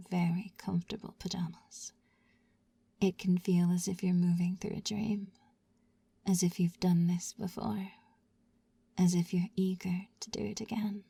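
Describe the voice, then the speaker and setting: A woman whispering a slow, soothing guided sleep hypnosis roleplay in a repetitive, lulling manner, close to the mic. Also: fairly low pitch, vocal fry, mellow, slightly rough, dry recording. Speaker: hypnosis ASMR narrator.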